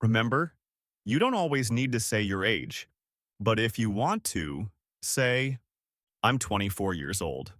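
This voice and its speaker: A man co-hosting a podcast English lesson, explaining example phrases in a clear, upbeat way. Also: lowish voice, sing-song, unhurried, a bit bright, no echo, studio quality. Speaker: podcast co-host and English teacher. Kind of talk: scripted English lesson dialogue.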